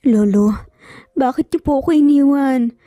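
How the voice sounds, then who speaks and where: A woman's voice: sits high, unhurried, dark tone, dry recording, clean studio sound. A woman with a Filipino accent voicing a grieving, devoted young granddaughter in an audio story, pleading and plaintive. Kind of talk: dramatic audio story dialogue.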